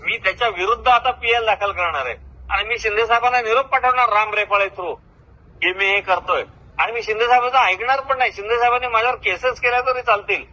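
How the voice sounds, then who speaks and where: Muffled high-pitched, sharp-voiced older Maharashtrian man. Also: quick pace. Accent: Marathi, Maharashtra. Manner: boastful, confrontational, talks at length. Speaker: retired government officer. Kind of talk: heated informal phone conversation. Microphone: phone call. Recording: muffled recorded phone call.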